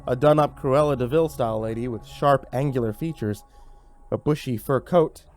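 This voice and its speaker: A man giving a theatrical, expressive first-person narration of a horror story, acting out the dialogue. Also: medium pitch, normal speed, faint music behind.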